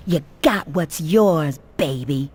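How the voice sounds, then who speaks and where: A woman's voice: deep voice, lively intonation, slow pace, darkish tone, breathy edge, exaggerated voice. A woman playing a tough, world-weary and defiant gangster's woman in a video game, hard-boiled and curt. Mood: threatening. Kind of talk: video game cutscene acting.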